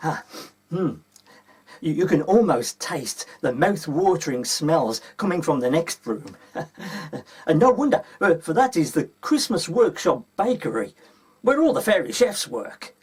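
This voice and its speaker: A man with a husky voice reading a children's story aloud as a storyteller. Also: fairly high pitch, very animated, measured pace, a little mellow.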